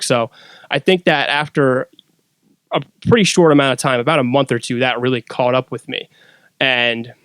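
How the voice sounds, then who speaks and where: A man's voice: mid-range voice, a bit bright, clean tone, no echo. A man speaking as a podcast guest, casually telling a personal story.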